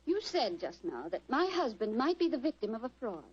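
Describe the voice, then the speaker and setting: A high-pitched, haughty, anxious wife. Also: female voice, very animated, fairly quick, husky. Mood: suspicious, coy. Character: haughty, anxious married woman with a guilty secret. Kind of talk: old-time radio melodrama acting. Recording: muffled old radio recording.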